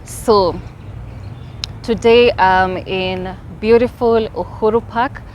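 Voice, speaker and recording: Slow-talking Kenyan woman vlogging outdoors. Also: medium pitch, a little hiss or hum. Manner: upbeat, explanatory, warm welcome to viewers. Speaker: travel vlogger. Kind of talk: casual travel vlog. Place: outdoors in a city park.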